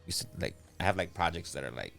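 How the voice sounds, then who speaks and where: A man's voice: deep voice, sing-song, fast talker, vocal fry, pushed and bright, soft background music. A man hosting a podcast, chatting in a laid-back, slangy, rambling way. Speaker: podcast host. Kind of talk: casual interview chat.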